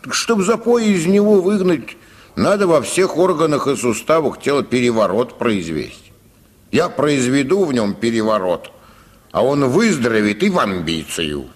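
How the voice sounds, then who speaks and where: A man's voice: medium pitch, big pitch swings, normal speed. Skinny old-fashioned barber healer in a radio play, folksy and wary, male voice that goes up and down a lot. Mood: self-important, wary. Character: tall, bony, skeletal theatre barber, folk healer, cautious. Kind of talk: Russian radio play acting of a classic story.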